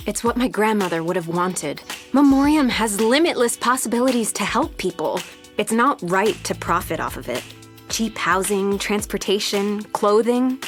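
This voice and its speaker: A woman's voice: medium pitch, natural voice. A woman playing an idealistic, hopeful young scientist, speaking earnestly in an audio drama.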